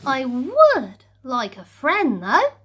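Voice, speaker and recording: Warm-hearted, bouncy storybook princess, voiced by a woman. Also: high-pitched, huge pitch swings, extremely slow, husky, heavily acted. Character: loud, cheerful, kind young princess.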